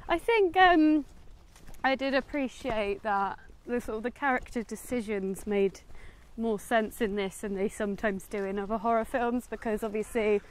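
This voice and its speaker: Higher-voiced English woman chatting casually. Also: moderate pace, low-quality recording. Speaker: film review vlogger. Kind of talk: casual film chat while walking. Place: outdoors, walking in woods.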